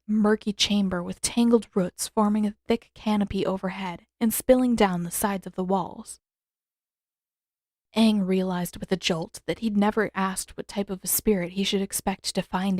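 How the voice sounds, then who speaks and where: A woman's voice: medium pitch, a little mellow, dry recording. Woman narrator with a dry, close sound. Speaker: audiobook narrator. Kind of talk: scripted story narration.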